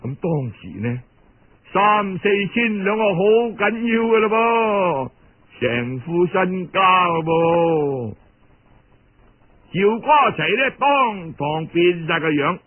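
A man's voice: high-pitched, big pitch swings, slow pace, slight background noise. A man with a Cantonese accent narrating a tale in traditional oral storytelling style. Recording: dull, band-limited radio-style recording.

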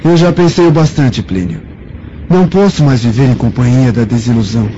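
Mellow, melancholy Roman officer played by an actor. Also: male voice, medium pitch, animated, fairly quick, natural voice. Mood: resigned, bitter. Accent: Brazilian. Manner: grave, formal. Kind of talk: radio drama acting. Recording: muffled radio drama, faint noise.

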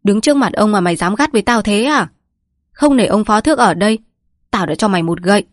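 A woman's voice: mid-range voice, a little fast, no echo, lightly acted. Storybook role: bossy, menacing male heir of a village family read by a woman, curt and cold, dry sound. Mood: angry. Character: cold, domineering eldest grandson, quick to threaten.

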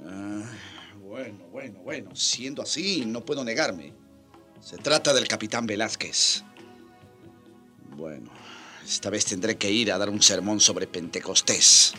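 An actor playing a troubled, irritable Spanish colonial priest in a dramatic radio play, prone to sighs and exclamations. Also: male voice, fairly low pitch, measured pace, sharp tone, faint music behind. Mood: resigned.

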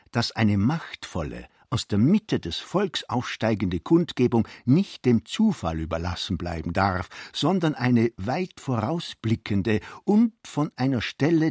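Radio play: a pompous aristocratic Austrian count, low and husky, ceremonious orator, close dry studio. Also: male voice, a little mellow, no room sound, exaggerated voice. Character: stately, pompous aristocratic Austrian count giving a patriotic address.

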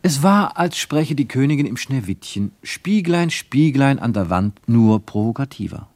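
A man reading scripted literary narration for a radio play, measured and wryly ironic. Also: mid-range voice, sing-song, darkish tone, no echo.

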